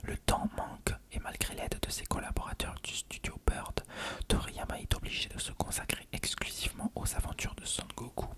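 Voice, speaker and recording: An ASMR host whispering an explanatory article reading in a measured, unvoiced voice.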